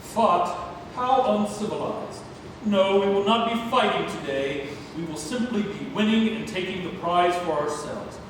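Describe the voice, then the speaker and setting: A man at a play read-through acting a greedy, haughty rival explorer who hunts for treasure. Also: high voice. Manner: haughty, theatrical. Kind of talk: stage play table read. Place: theatre room, recorded from far off. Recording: distant echoey hall with faint noise.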